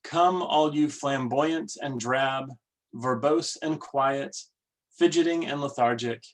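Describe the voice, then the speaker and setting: A man leading worship, giving welcomes and readings in a measured, deliberate way. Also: mid-range voice. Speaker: worship leader. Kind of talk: church service welcome and readings.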